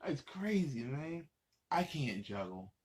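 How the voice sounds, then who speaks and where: A man hosts a children's story time in a slow, unhurried delivery. His pitch moves up and down in a very lively way as he reads and chats directly to the kids. The style is casual, with small asides between the lines of the book. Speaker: children's story reader and host. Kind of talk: casual read-aloud of picture books to children.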